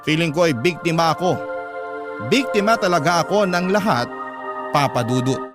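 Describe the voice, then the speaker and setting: A man's voice: a little high, animated, normal speed. A man with a Filipino accent narrating a scripted first-person story for a radio drama. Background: prominent background music.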